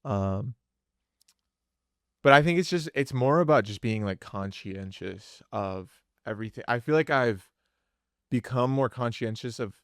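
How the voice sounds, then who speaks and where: A man's voice: medium pitch, measured pace, dry recording, clean studio sound. A young man, a musician co-hosting a podcast, chatting casually and rambling with lots of filler words. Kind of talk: casual chat.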